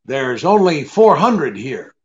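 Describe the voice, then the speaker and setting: A man's voice: on the high side, big pitch swings, slow pace, highs cut off. A man playing a wry, tough, unflappable private detective in a 1940s-style radio drama, with an offhand way of questioning. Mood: brusque, probing.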